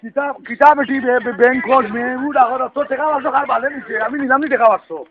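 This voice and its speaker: This is a high-voiced Sylheti man arguing over a thin, noisy phone line. He scolds at a quick clip in long, exasperated rambles. Questioning grunts keep punctuating his complaints. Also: steady tone, a crowd in the background. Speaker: prank call participant. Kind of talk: heated phone argument. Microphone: telephone.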